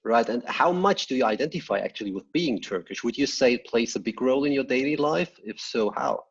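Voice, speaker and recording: A man's voice: medium pitch, lively intonation, brisk, slightly rough, muffled recording. A man hosting an interview, asking brief questions. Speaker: interviewer, host.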